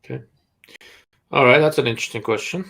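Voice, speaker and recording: A man with a Russian accent hosts a live question-and-answer stream, giving blunt, dry answers. His mid-pitched voice has a gravelly edge. He talks plainly and is quick to call ideas out. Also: a bit bright. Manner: blunt, dryly sarcastic. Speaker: host.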